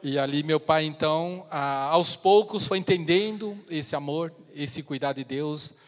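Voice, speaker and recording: A man in his fifties from China, giving an earnest personal testimony as a missionary speaker in a church, with pauses and restarts. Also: fairly high pitch. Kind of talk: testimony sermon. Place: church sanctuary. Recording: long church hall reverb.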